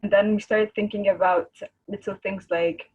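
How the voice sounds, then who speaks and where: A woman's voice: mid-range voice, fairly even intonation, moderate pace, a little mellow, slightly rough, lo-fi sound. A woman, an Arabic speaker, chatting casually as a guest artist on a video call. She rambles and searches for words, laughing easily. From Egypt.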